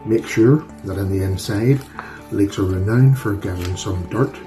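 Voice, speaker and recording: A man's voice: on the low side, measured pace, music in the background. A man from Northern Ireland with a Northern Irish accent, hosting a casual home cooking demonstration in a chatty, folksy, self-deprecating way. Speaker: cooking channel host. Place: home kitchen.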